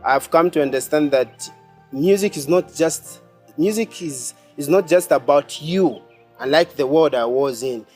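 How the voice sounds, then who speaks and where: A man's voice: fairly high pitch, a little slow, a little mellow. A young man from Zambia, a gospel musician, speaking earnestly and reflectively in a documentary interview outdoors. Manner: earnest, reflective, some restarts. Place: outdoors among bushes. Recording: faint music underneath.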